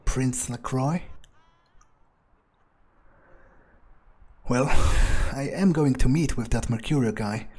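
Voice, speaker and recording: Man playing a slow, husky, cynical gangster vampire. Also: mid-range voice, darkish tone. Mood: musing. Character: cynical crude male gangster turned vampire, foul-mouthed. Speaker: gamer playing in character. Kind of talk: improvised in-character thinking aloud.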